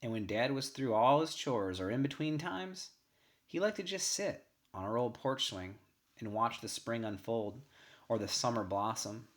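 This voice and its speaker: A man with a medium-pitched voice reads a first-person short story aloud as scripted narration. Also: animated, normal speed. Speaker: story reader.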